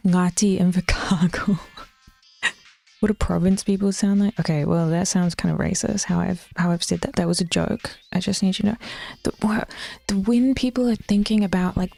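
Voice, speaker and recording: A woman's voice: on the low side, creaky, a little mellow, no echo. A young woman with an Auckland New Zealand accent chatting casually with her stream chat, rambling, swearing and sometimes dropping into whispers.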